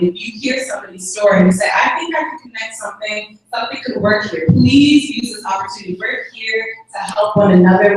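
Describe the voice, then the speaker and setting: Encouraging woman moderator, echoey hall. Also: medium pitch. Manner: encouraging, chatty. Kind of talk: panel moderating. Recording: distant, roomy, faint noise.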